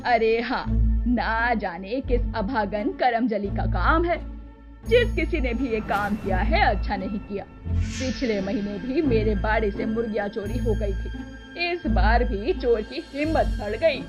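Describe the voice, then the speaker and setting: A woman's voice: fairly high pitch, unhurried, over music, close to an everyday voice. A woman playing a simple village housewife in a cartoon tale, earnest and given to complaint. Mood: indignant. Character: simple, devout village housewife, indignant but supportive.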